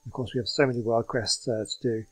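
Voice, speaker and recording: A man's voice: medium pitch, steady tone, fast talker, poor audio. A man hosting a gaming video, chatting informally as he plays. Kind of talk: casual game commentary.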